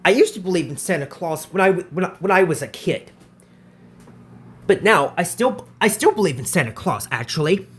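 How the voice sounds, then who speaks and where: A man's voice: high voice, lively intonation, moderate pace, a bit bright. A man in his twenties hosting a casual question-and-answer vlog, who often repeats himself and restarts his phrases. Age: 20s. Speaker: channel host answering viewer questions.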